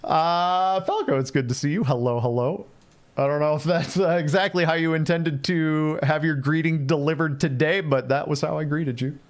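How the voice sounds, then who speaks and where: A man's voice: fairly high pitch, moderate pace. Man casually commentating on a game livestream. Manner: playful, thinking aloud, repeats phrases. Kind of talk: casual live gameplay commentary, chatting with viewers.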